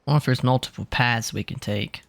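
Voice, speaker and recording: A man playing a calm, laconic young traveler hero in a video game. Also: medium pitch, fairly quick, gravelly, close to an everyday voice. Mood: curious. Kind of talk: video game character dialogue. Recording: music behind the voice.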